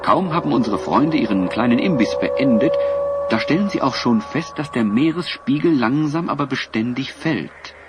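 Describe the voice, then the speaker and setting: A man narrating a scripted children's audio drama in a measured, expressive storytelling manner. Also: medium pitch, heavy background noise.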